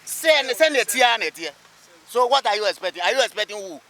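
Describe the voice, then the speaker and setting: A man's voice: sits high, animated, a little fast, sharp tone, low-quality recording. A man with a Ghanaian accent answering a street interview emphatically, repeating his phrases. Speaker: interviewed local motorist or resident. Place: outdoors by a busy road.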